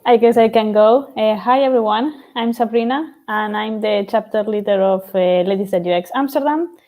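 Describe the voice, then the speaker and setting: A woman, introducing herself from her living room on a video panel, brisk with frequent "uh"s. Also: mid-range voice, dark tone. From Argentina and Spain. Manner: brisk, with filler "uh"s. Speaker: panelist, UX designer. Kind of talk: casual self-introduction.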